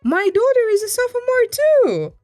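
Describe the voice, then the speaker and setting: Lady putting on a chatty medic dad, high and bouncy, super eager small talk. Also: huge pitch swings, normal speed, darkish tone, no echo, faint music behind, heavily acted. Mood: friendly, excited. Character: chatty friendly male air ambulance medic, middle-aged father.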